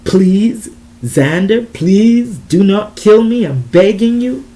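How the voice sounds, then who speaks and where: A woman's voice: mid-range voice, expressive, takes it slowly, warm tone, voice pushed up. A woman voicing a terrified woman who cries out and begs for her life in a dramatic story reading. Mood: desperate pleading. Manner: crying out, pleading.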